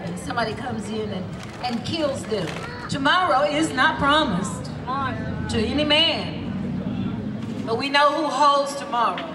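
A woman with a raspy voice, earnestly introducing a gospel song on an outdoor stage with a handheld mic. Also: fairly high pitch. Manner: earnest, faith-filled encouragement. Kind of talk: spoken song introduction, testimony. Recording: echoey outdoor PA with street noise.

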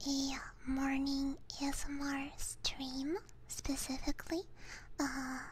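A young woman streaming ASMR, chatting casually to viewers in a soft, breathy, gentle whisper, close to a binaural microphone. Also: sits high, completely flat delivery, takes it slowly, slight background noise. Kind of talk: whispered ASMR livestream chat.

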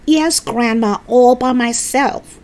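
A woman voicing an eager, cheeky young boy who calls out excitedly, in a children's storybook. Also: fairly high pitch, slow, voice pushed up. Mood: cheeky, proud. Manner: calling out excitedly.

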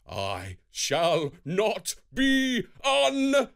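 A man voicing a brutish, bossy thunder god in a children's storybook, forceful and commanding. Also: sits high, wildly swooping pitch, drawn-out words, gravelly, pitched above the performer's own voice, heavily acted. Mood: indignant.

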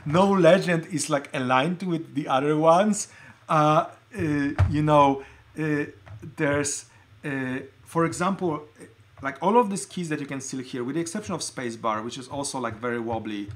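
A middle-aged man from Poland hosting a casual show-and-tell livestream, talking in a halting, conversational way and often interrupting himself. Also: on the high side. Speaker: livestream host showing collected keyboards. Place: indoor room at a desk.

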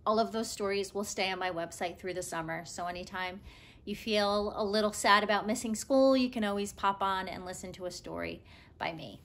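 A woman in her forties reading a children's story aloud as a teacher would, with expressive, deliberate phrasing. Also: medium pitch. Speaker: teacher reading stories to pupils. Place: room at home, indoors.

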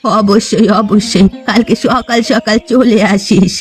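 A woman's voice: medium pitch, steady tone, unhurried, faint music behind, natural voice. A woman voicing a poor, sorrowful old fisherwoman in a cartoon folk tale, plaintive and resigned.

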